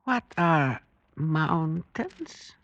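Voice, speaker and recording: Very slow, condescending old village elder. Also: male voice, on the high side, sing-song, a bit bright, dry recording, exaggerated voice. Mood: amused, condescending. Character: amused, dogmatic old male blind village elder. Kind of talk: old-time radio drama acting. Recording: dull lo-fi vintage radio recording.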